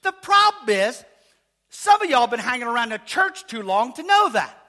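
A man preaching a sermon as pastor, casual and joking, asking the congregation questions, rising to shouts. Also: very animated, normal speed. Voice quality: high voice.

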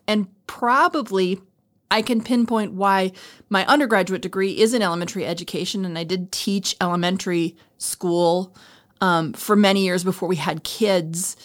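A middle-aged woman talking casually and reflectively, explaining things in an earnest, conversational way as a co-host. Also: lowish voice. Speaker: podcast co-host, former elementary school teacher.

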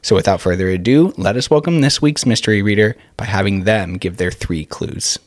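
Male podcast host, school programme, scripted delivery, lower pitch, very dry recording. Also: brisk, no room sound.

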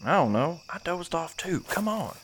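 A man's voice: a little high, sing-song, moderate pace, fairly bright tone. An actor playing a cautious, grim old man on a night stakeout in a horror audio play, with crickets behind. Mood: urgent. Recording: noisy track with insect sounds.

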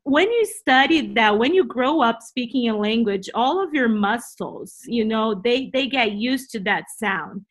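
A woman's voice: fairly high pitch, animated, unhurried, few pauses. A woman from Brazil, a native Portuguese speaker with a Brazilian accent, telling personal stories expressively as an interview guest, often repeating herself as she goes. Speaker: interview guest, Bible teacher. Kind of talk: personal storytelling in a conversational interview.

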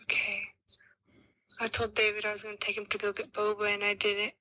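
A woman's voice: medium pitch, monotone, brisk, pushed and bright, no echo. A woman answering questions in a casual chat as a guest on a phone call. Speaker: called guest.

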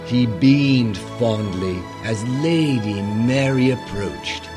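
A man narrating a fairy-tale radio drama in a theatrical, drawn-out style. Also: mid-range voice, over music.

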